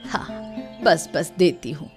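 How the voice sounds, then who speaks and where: A woman voicing a kindly old village woman, humble, gentle and motherly, in a cartoon story. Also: low voice, expressive, takes it slowly, faint music behind. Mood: curious. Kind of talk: Hindi cartoon moral story dialogue.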